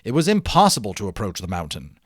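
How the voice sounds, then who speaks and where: A man narrating a children's novel in a dramatic, emphatic read-aloud style, sometimes dropping softer to explain things to listeners. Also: on the low side, fast talker, slightly rough, no echo, clean studio sound. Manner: expressive, emphatic, with hushed drops.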